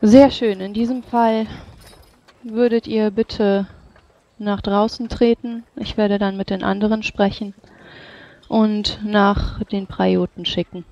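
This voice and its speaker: A tabletop roleplayer speaking formally and in a measured way, switching between in-character speech and narration. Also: medium pitch, level tone, gravelly, darkish tone, no room sound, muffled recording. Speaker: player or game master voicing characters in a tabletop game.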